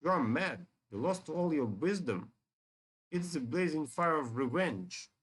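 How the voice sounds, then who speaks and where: A young dragon from a fantasy game, read aloud by a man, grieving and righteous. He speaks in an earnest, solemn way at an unhurried, slow pace. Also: a little high, animated, breathy edge, pitched above the performer's own voice. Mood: outraged.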